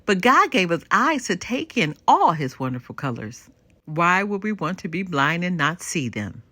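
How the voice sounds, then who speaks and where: An older woman reads a children's storybook aloud with a hugely animated, swooping delivery. Her voice is fairly low for a woman and very bright, recorded close and dry. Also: moderate pace, no echo.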